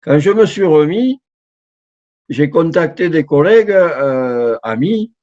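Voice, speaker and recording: A middle-aged translator gives an informal talk over a video call, his voice fairly high for a man. He speaks slowly and keeps restarting and repeating words as he works through his points. The sound is dull and lo-fi, like a computer microphone on a call. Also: lively intonation, a little mellow. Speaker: professional translator giving a talk. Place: home setup on a video call. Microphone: computer mic on a video call.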